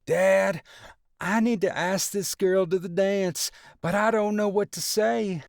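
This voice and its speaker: A man's voice: sits high, steady tone, slow pace, vocal fry, no room sound, clean studio sound, pitched above the performer's own voice, exaggerated voice. A man voicing a shy, awkward young man embarrassed by his family. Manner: pleading, embarrassed.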